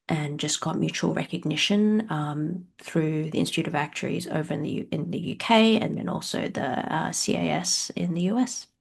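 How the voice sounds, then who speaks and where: A woman's voice: low-pitched, vocal fry, husky. A middle-aged woman from Australia, a company CEO answering interview questions frankly, laughing often and using many fillers over a video call. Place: at home.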